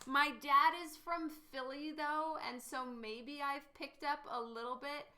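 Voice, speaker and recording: High, bright, giggly woman chatting slowly. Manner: chatty, giggles often, answers chat questions. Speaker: artist live-streaming a painting session. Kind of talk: casual chat with viewers while painting.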